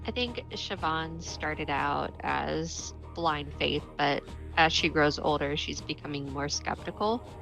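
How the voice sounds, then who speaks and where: A woman's voice: low voice, slow, vocal fry, a bit bright, faint music behind, low-quality recording. A woman playing a tabletop game, casually narrating what her character thinks and does.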